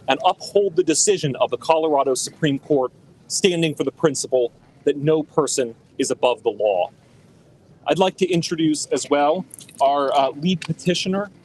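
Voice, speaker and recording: A man giving a formal, measured press statement outdoors. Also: on the high side, slight background noise, rough recording. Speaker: lawyer or spokesman at a press conference. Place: outdoors on a city street.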